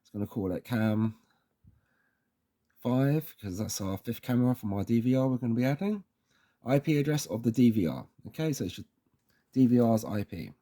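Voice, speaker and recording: A man's voice: mid-range voice, lively intonation, normal speed, pauses often, mellow. A man presenting a casual how-to tutorial, informal and reassuring, often checking in with little tag words.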